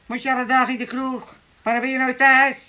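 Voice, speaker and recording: Grumbling old father, swooping bright voice. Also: sits high, very animated, brisk, breathy edge, exaggerated voice. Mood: cosy, contented. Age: older. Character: grumbling, sociable old tippling father and grandfather. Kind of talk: comic radio drama acting.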